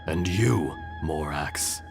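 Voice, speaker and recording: An actor playing an ancient, world-weary earth dragon who speaks gravely and poetically in a video game. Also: male voice, extremely low pitch, lively intonation, extremely slow, music in the background, heavily acted. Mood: resigned farewell. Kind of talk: video game story dialogue.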